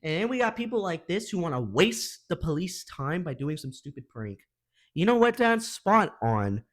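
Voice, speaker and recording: A high-voiced man hosts a casual reaction commentary. His pitch swings widely as he gives exasperated, slangy opinions. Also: sing-song, normal speed, fairly bright tone. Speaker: reaction video host.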